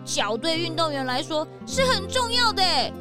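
Eager little kid sidekick in a detective story for children, squeaky high, super lively, earnest. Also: female voice, moderate pace, loud music behind the voice. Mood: dismayed. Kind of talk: children's audio drama acting.

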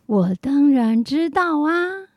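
High-voiced, slow, confused old woman played by an actress. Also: very animated, exaggerated voice. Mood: fond. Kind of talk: radio drama acting.

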